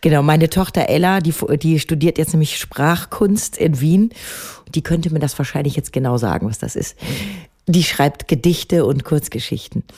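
A middle-aged woman with a lowish, mellow voice talks about her songwriting in an unhurried, reflective way. She often pauses and hunts for the right word, with plenty of fillers between her thoughts. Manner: thoughtful, searching for words with many fillers. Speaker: songwriter guest. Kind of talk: reflective interview talk.

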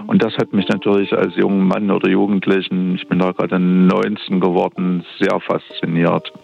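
A man in his fifties from Dresden, speaking on a phone line that sounds dull and muffled. His voice sits low with a gravelly, creaky grain. He talks reflectively and hesitates, often starting sentences over. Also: a little mellow. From Dresden, Germany. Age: 50s. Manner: reflective, with frequent hesitations and restarts. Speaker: interview guest, academy director. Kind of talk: phone interview. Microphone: telephone line.

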